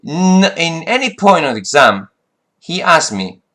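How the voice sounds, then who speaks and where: A man's voice: on the high side, very animated, measured pace, pushed and bright. Frustrated man. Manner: frustrated, rambling with restarts and fillers. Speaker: flight sim vlogger. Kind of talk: casual monologue.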